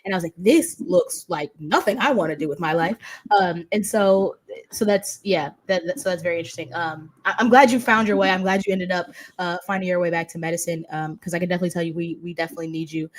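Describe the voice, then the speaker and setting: A woman's voice: lowish voice, fast. A woman hosting a casual interview, chatty and informal, circling back over her phrases as she talks. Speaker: interview host.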